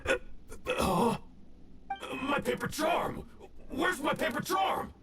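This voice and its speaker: A man's voice: extremely high pitch, animated, slow pace, echoey room, distant mic, noisy background, full character voice. An actor playing a scheming male ronin gang boss who gloats and sneers. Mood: panicked. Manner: gloating, then panicked shouting.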